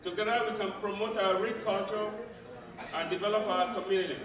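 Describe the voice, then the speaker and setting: High-voiced man, formal gala address, roomy distant hall with crowd. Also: fairly even intonation, noisy background. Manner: formal, measured, ceremonious thanks. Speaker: association president. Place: large event clubhouse hall. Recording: echoey hall from far off, crowd. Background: audience crowd.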